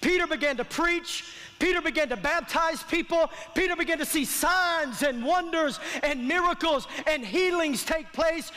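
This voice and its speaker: Man, thirties, extremely high-pitched voice, emphatic folksy sermon delivery, long hall reverb. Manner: animated, emphatic, repetitive, colloquial, calls for audience response. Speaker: pastor. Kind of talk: sermon preaching. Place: large church auditorium stage, indoors. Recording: long hall reverb from stage sound system.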